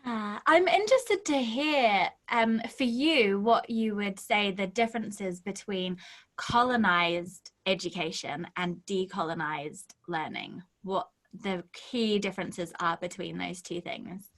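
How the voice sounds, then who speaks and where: Woman host, mid pitch, encouraging, fills pauses with quick murmurs of agreement. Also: fairly bright tone. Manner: affirming, frequent listening murmurs. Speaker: podcast host. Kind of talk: casual interview.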